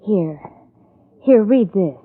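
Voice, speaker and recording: An actress playing a gentle, self-sacrificing invalid wife with an earnest, pleading manner, in 1940s radio thriller style. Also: female voice, mid-range voice, lively intonation, takes it slowly. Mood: tender. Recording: tinny, noisy old radio recording.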